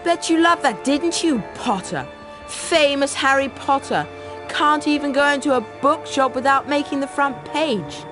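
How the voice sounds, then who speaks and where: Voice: high-pitched, measured pace, music in the background. A performer voicing a spiteful, sneering rich schoolboy bully in a video game. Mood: taunting.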